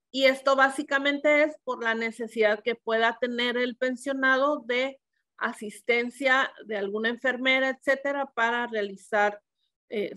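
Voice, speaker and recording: A woman's voice: fairly high pitch, fairly bright tone. A middle-aged woman from Mexico giving a webinar, methodically explaining pension rules, with frequent fillers and self-corrections.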